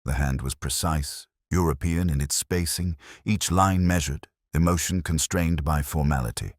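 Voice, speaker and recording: Very deep-voiced man narrating a mystery. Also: no room sound, clean studio sound. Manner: measured, reflective storytelling. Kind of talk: scripted first-person mystery narration.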